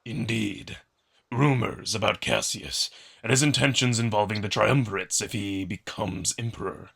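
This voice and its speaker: A man's voice: lowish voice, moderate pace, creaky, a bit bright, slightly rough, heavily acted. A man voicing a stern old wolf emperor who speaks formally and with menacing restraint. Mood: quietly displeased.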